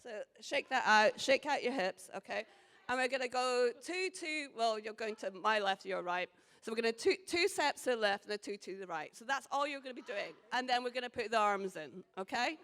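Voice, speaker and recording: A woman's voice: a little high, animated, a little fast, fairly bright tone. A woman with a Scottish accent and a slight American twang, talking enthusiastically and rambling a little as she leads a panel audience in a dance. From Scotland and Hawaii. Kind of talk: lively casual talk leading a dance. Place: large hall at a festival. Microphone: handheld mic, later put down and heard from further off. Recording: reverberant hall.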